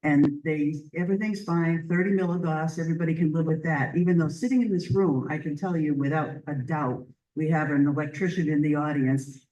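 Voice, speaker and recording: A woman leading a community group, giving an earnest, chatty presentation at a public meeting in a library, with a loud voice. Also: sits low, a little fast, hardly any pauses, recorded from a distance, highs cut off. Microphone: handheld or stand microphone.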